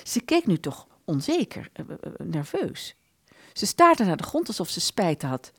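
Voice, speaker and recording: A woman reading literary narration for a radio play in an expressive storytelling manner. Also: fairly low pitch, moderate pace, breathy edge, no echo, clean studio sound.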